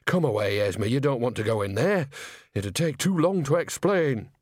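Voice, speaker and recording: A man's voice: mid-range voice, lively intonation, normal speed, a little mellow, no room sound, clean studio sound, close to an everyday voice. A man voicing an earthy old woman witch who mutters and grumbles disapprovingly in a storybook. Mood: grudging, awkward.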